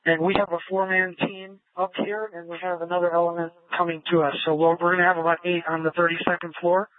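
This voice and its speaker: A man's voice: high-pitched, little pitch movement, fast, dry recording, narrow, tinny audio. A male police officer giving a report over a police radio, as heard on a scanner. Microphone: two-way police radio.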